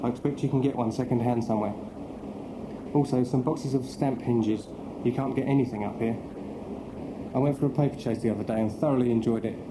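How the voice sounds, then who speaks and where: Actor as young English naval officer, roomy sound. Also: male voice, medium pitch, fairly even intonation, a little fast, long pauses, background noise, close to an everyday voice. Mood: cheerful, chatty. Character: cheerful young British naval sub-lieutenant writing home. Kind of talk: dramatised letter reading.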